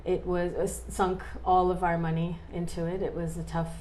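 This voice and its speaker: A woman in her forties, a guest in a casual interview, talking in a halting way with many fillers and restarts. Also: fairly low pitch, little pitch movement, a little mellow, slight background noise. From born in Australia, raised in India and Canada.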